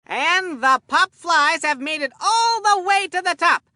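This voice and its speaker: A cheerful, forgetful old grandpa calling baseball in a kids' cartoon game. The voice is high and piercingly bright, recorded very dry and close. He rambles in a folksy, excitable way. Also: a little slow, no room sound, heavily acted. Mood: excited announcing. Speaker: sports commentator in a children's baseball game.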